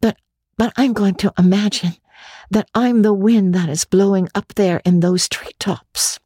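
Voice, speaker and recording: A woman's voice: medium pitch, steady tone, unhurried, mellow, husky, no room sound, clean studio sound, voice pushed up. A woman voicing an imaginative, talkative young girl in a storybook reading, earnest and dramatic, with gushing chatter. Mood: dreamy, excited.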